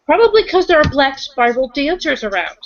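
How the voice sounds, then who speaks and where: A woman with a fairly high voice chats casually through a tabletop roleplaying session. She moves easily between narrating and speaking in character. The sound is a little dull. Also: sing-song, brisk, a little hiss or hum. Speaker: roleplaying game player.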